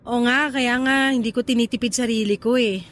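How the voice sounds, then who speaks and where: A woman's voice: on the high side, fairly even intonation, unhurried, a little hiss or hum, close to an everyday voice. A Filipino woman acting a guarded, evasive partner with a secret, in radio drama dialogue. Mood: nervous, uneasy. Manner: hesitant, deflecting.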